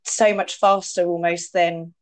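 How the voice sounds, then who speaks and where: Woman talking fast in a casual chat, mixes up words, lots of "like". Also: on the low side, little pitch movement. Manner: chatty, quick and mixes up words, many fillers.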